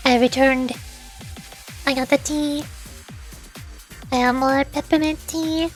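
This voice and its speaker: High-voiced woman streaming, music behind. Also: fairly even intonation, slow. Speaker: VTuber streamer. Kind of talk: casual livestream chat.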